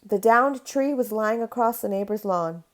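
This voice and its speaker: A woman's voice: on the high side, normal speed. A woman reading a children's picture book aloud in a storytelling style.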